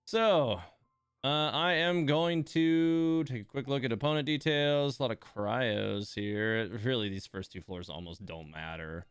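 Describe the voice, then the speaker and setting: A man streaming a video game, thinking aloud in a relaxed, informal way as he gives casual live commentary. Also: medium pitch, a little slow, clean tone, dry recording, faint music behind.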